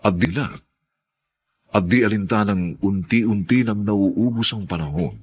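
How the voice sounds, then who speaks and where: A man announcing and narrating a radio drama in a solemn, measured, theatrical style. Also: lowish voice, darkish tone, slightly rough.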